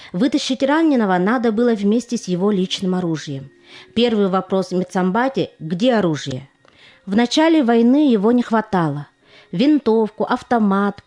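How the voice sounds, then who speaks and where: A woman narrating a scripted documentary drama in a solemn, measured manner. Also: mid-range voice, mellow.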